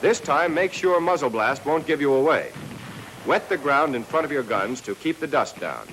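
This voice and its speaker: An American man narrating a 1940s military training film, reading a scripted lesson and addressing trainees directly with clear instructions. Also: fairly high pitch, sing-song, moderate pace, a bit bright, slight background noise, low-quality recording.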